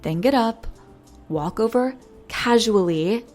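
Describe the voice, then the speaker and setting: A woman playing a nervous, lovesick young pop star in an intimate audio roleplay, speaking in a halting, flustered way. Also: on the high side, expressive, darkish tone, soft background music. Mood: flustered, self-coaching. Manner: flustered, halting, self-interrupting, breathy sighs.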